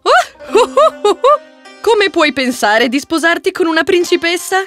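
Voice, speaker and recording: A woman playing a worried, loving mother in a children's fairy tale, with wailing, drawn-out exclamations. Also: very high-pitched, big pitch swings, fairly bright tone, faint music behind, full character voice. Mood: alarmed.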